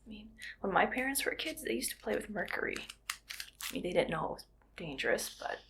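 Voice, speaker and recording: A woman playing a quirky, friendly art teacher in a soft, whispered ASMR roleplay, speaking in a gentle, unhurried murmur. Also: medium pitch, expressive, gravelly, noisy background. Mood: playful, musing. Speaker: ASMR performer.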